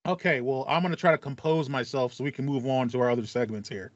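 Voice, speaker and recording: A man's voice: mid-range voice, fairly even intonation, fast, no echo, dull audio. A man in his thirties co-hosting a casual gaming podcast, often repeating words as he thinks aloud. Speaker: podcast co-host. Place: small room with acoustic foam.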